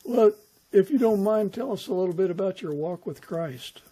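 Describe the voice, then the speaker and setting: A man's voice: sits high, normal speed, warm tone. A man hosting a casual podcast interview. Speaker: podcast host.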